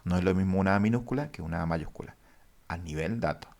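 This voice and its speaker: Deep gravelly-voiced man teaching databases. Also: darkish tone. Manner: halting, many fillers, addresses viewers formally. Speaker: database instructor. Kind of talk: screen-share coding lesson.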